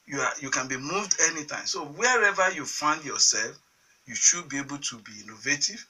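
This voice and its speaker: Nigerian male guest, earnest and halting, bright husky tone, slightly distant. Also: a little high, expressive. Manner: halting, many restarts and fillers, earnest. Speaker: science teachers association chairman, guest. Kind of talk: studio TV interview answers.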